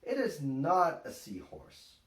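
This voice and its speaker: A man reads a children's picture book very slowly and deliberately, with lively swings in pitch. He sounds a little way from the microphone in an echoey room at home. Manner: deliberate, expressive, simple phrasing. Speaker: storytime host, father reading aloud. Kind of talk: slow picture-book read-aloud for young children. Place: small room at home, indoors. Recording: roomy, distant home-camera sound.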